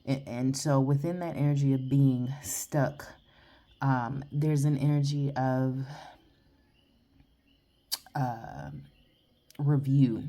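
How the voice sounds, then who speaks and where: A woman's voice: extremely low pitch, fairly even intonation, slow pace, pauses often, warm tone. A woman tarot reader, blunt and chatty, giving a rambling casual reading outdoors while congested and sniffly. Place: outdoors in the early morning.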